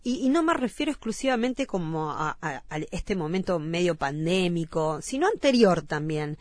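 A woman's voice: on the low side, sing-song, normal speed, darkish tone, no room sound. A woman radio host with a Uruguayan Spanish accent, talking casually with a guest and filling in with frequent "ujú" and "claro".

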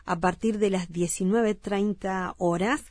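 A woman from Uruguay with a Rioplatense accent, hosting a radio interview in a lively, slangy way and repeating event details. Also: medium pitch, unhurried, no echo. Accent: Rioplatense Spanish. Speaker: radio host. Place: radio studio. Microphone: studio mic.

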